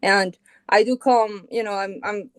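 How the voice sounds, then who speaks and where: A middle-aged woman from Colombia talks in a relaxed, casual interview voice. She thinks out loud, with plenty of "you know" and restarts. Her pitch sits in a mid range, and the sound is dry and close with no room echo. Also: unhurried, clear, resonant tone. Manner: relaxed, thinks aloud with many fillers and restarts. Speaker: guitarist and founding band member, guest.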